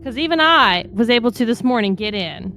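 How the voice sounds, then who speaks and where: High-ish voiced woman rambling about her morning on stream, soft music behind. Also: brisk. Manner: animated, chatty storytelling. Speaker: stream co-host. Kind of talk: casual stream chat.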